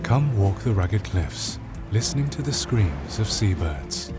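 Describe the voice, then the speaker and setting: A man narrating a scripted drama trailer in a deliberate, inviting and ominous way. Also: low-pitched, big pitch swings. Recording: music bed behind the voice. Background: music.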